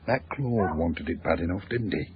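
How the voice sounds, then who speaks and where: A man playing a gruff, secretive working-class husband with a South Yorkshire accent, speaking in terse phrases in a radio drama. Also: low-pitched, moderate pace, a crowd in the background, close to an everyday voice. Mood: wary, secretive. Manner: terse, grunting short phrases. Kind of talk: naturalistic radio drama acting. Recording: dull, muffled radio broadcast.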